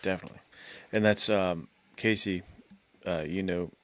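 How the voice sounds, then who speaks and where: An American man co-hosting a podcast, chatting casually in halting, slangy phrases peppered with "like". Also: deep voice, steady tone. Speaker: podcast co-host. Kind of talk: casual chat.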